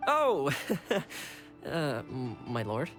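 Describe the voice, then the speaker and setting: A woman playing a lord's loyal attendant in a video game, polite with gentle teasing. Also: rumbling low, huge pitch swings, extremely slow, soft background music, heavily acted. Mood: flustered, admiring. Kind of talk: scripted game dialogue acting.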